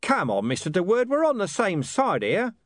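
A stern watch commander, gruff and probing, who keeps pressing and won't let go, as a man voices him in a storybook reading. His pitch moves around a lot, and the sound is close and dry. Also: huge pitch swings, normal speed, dry recording, exaggerated voice.

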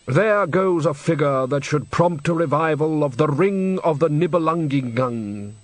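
A man reading aloud and voicing an older male opera director who speaks slowly and wistfully. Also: fairly high pitch, animated, dry recording, voice pushed up. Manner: slow, wistful, nostalgic.

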